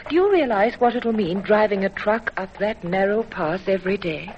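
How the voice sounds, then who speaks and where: A woman's voice: medium pitch, lively intonation, moderate pace, close to an everyday voice. A devoted, fretful young sweetheart, played by a woman in an old radio drama. Her theatrical intonation rises and falls widely, on a dull vintage recording with faint noise. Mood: worried. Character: affectionate, anxious young sweetheart of an adventurer.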